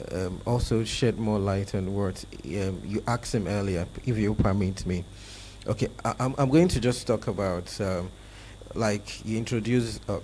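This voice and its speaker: A man in his thirties answering as a cybersecurity expert on a conference panel, halting with fillers and restarts, then more emphatic, into a handheld mic. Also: fairly low pitch, mellow, slight background noise, low-quality recording. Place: conference hall on stage.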